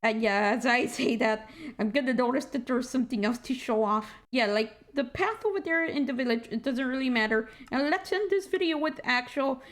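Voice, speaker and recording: A woman with a squeaky voice giving a casual, rambling game walkthrough at her computer, tired and correcting herself as she goes. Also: normal speed. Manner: rambling, self-correcting, joking asides. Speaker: gaming channel host. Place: indoor room at a computer.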